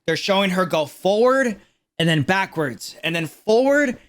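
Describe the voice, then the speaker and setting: A man's voice: sits high, lively intonation, measured pace, fairly bright tone. A man giving casual, slangy livestream reaction commentary, thinking aloud as he goes. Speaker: reaction streamer.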